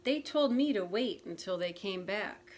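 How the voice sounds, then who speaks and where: A woman's voice: medium pitch, lively intonation, unhurried, a little hiss or hum, close to an everyday voice. A woman reading the part of a playful male frog who tells a spooky tale to his friend. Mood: storytelling. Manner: teasing, dramatic storytelling. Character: playful male frog telling a ghost story.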